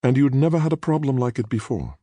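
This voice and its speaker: A man voicing a brisk, unfriendly woman interviewer who speaks in clipped, efficient tones with a British accent. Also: medium pitch, dark tone, no room sound, voice pushed up, natural voice. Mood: clipped, probing.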